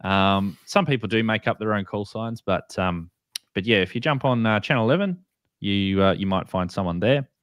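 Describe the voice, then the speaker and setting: A low-pitched Australian man hosts an informal explainer. His delivery is halting, with words repeated as he goes. The sound is close and dry, with very little room in it. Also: no echo. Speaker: host. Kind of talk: casual explainer with screen share.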